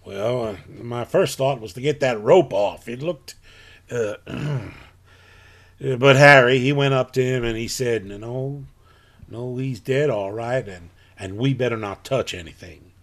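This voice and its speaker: A man playing a plain-spoken rural farmer in a live audio drama, telling his story in a folksy, halting way. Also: medium pitch, lightly acted. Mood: unsettled.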